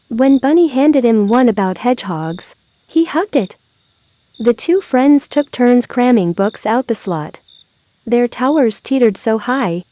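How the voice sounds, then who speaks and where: A woman narrating a children's story aloud, with expressive, deliberate phrasing. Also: on the high side, no echo.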